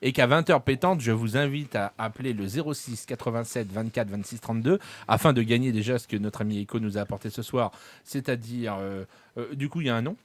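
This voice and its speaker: A man's voice: medium pitch, brisk. A man hosting a live radio show, joking and teasing his co-hosts in casual banter. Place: radio studio.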